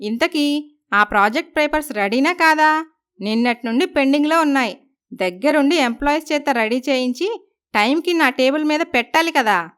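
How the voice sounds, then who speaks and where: A woman's voice: high-pitched, lively intonation, normal speed, fairly bright tone, voice pushed up. A woman voicing an arrogant, commanding young businesswoman who scolds people in a moral story. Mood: demanding. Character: arrogant, bossy young businesswoman who bullies her husband. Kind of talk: dramatised moral story voice acting.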